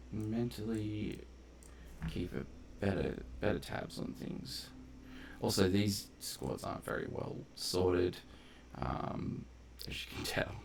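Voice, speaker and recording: Very deep, creaky-voiced man commentating a game. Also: slight background noise. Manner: rambling, tangential, explains game mechanics in detail. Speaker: game streamer and narrator of a playthrough. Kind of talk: casual unscripted gameplay commentary.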